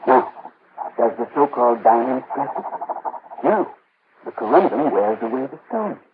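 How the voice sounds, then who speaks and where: A man's voice: medium pitch, very animated, normal speed, vocal fry. An actor playing a precise, fussy male gem appraiser in an old radio drama, with formal, didactic speech. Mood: explaining. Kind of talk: classic radio drama acting. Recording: tinny, noisy old radio recording.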